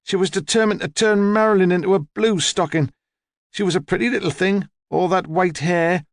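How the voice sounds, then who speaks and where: A man voicing a haughty, demanding old woman with a hoarse, rasping voice, in an audiobook story. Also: high voice, normal speed, dry recording, clean studio sound, pitched above the performer's own voice. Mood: opinionated, dismissive. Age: older. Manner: demanding, curt, imperious. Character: sharp, haughty, opinionated old woman, large and deaf.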